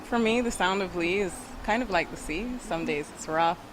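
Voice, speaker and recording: Low-voiced woman, noisy background. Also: moderate pace. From Grenada, raised in Barbados. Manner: chatty, laughs easily. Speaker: singer-songwriter guest. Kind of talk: casual interview. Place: outdoor arts event.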